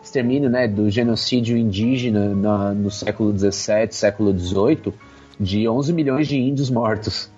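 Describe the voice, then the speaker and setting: A man's voice: lowish voice, normal speed, a little mellow, soft background music. A Brazilian man co-hosting a podcast, casually explaining history. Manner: explanatory, informative. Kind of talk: casual conversational chat on history. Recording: slightly dull lo-fi sound.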